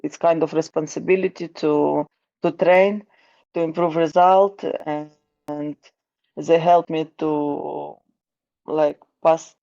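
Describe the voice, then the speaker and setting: A woman in her thirties with a Ukrainian accent, talking in an interview. Her voice is gravelly and she speaks slowly in a flat, even tone. She stops and restarts often, and the recording sounds dull. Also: low voice. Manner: halting, with restarts and fillers. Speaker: guest, wrestling coach. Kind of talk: casual interview answers.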